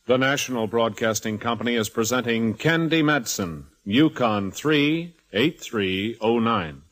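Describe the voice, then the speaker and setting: Old-time radio announcer guy, medium pitch, 1940s program intro style. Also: expressive, measured pace.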